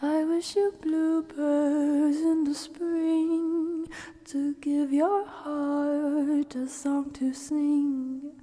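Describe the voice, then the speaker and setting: A woman live streamer with a soft, gentle manner, drifting between casual ASMR chat and soft singing. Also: high voice, little pitch movement, extremely slow, soft background music.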